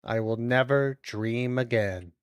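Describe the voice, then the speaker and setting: Slow-paced streamer in a dry, close space. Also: mid-range voice, clean tone, dry recording. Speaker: streamer reacting to videos. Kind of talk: casual watch-along commentary mixed with reading on-screen text aloud.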